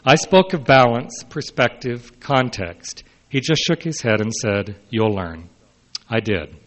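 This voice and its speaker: A mature man reads a prepared personal speech aloud in a measured way, with brief asides, as a historian addressing listeners. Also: medium pitch, fairly bright tone. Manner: measured, announces quote and unquote, brief unscripted asides. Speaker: historian giving a talk.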